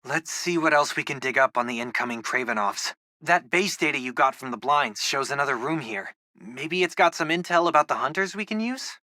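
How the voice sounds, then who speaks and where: Lively, wry teenage tech-geek buddy. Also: male voice, medium pitch, brisk, few pauses, no echo, clean studio sound. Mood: focused. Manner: casual, wry, chatty. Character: geeky, upbeat teenage boy, loyal wisecracking best friend. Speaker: video game voice actor. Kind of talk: video game companion dialogue.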